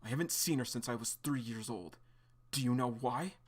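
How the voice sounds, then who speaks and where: A man's voice: mid-range voice, moderate pace, lightly acted. A man voicing a vengeful, furious young man who swears bitterly.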